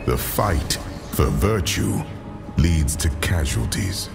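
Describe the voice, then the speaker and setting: An actor playing a grave male archangel of justice in a fantasy game, giving measured, solemn counsel. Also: extremely low pitch, big pitch swings, vocal fry, full character voice. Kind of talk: dramatic fantasy game acting. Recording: loud music behind the voice.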